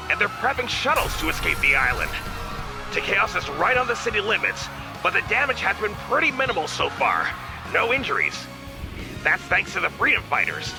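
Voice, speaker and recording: A man voicing an earnest, dutiful communications aide reporting to his king in an animated comic dub. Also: high voice, vocal fry, heavily acted, rough recording. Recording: music behind the voice.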